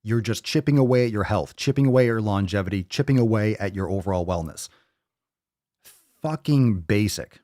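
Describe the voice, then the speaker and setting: A man's voice: on the low side, fairly quick, warm tone, no echo, studio quality. A man in his thirties hosting a fitness podcast, lecturing bluntly with plenty of swearing. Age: 30s. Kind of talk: ranting livestream talk.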